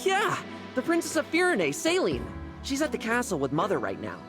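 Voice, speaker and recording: A man's voice: extremely high pitch, wildly swooping pitch, moderate pace, music in the background, heavily acted. A man playing a friendly, kindhearted young prince in a video game, chatty, polite and upbeat in manner. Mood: cheerful, friendly. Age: young adult. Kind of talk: scripted video game voice acting.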